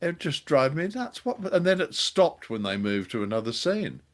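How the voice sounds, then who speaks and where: A man's voice: a little high, very animated, moderate pace. A man co-hosting a podcast, giving a casual review with wry, jokey exaggeration. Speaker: podcast co-host.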